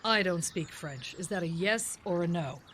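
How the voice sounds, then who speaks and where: Police radio spoof: hard-boiled detective narrator played by a woman, slightly low register, flat deadpan, clipped and businesslike. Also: moderate pace, slight background noise. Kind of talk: parody of 1950s police radio drama.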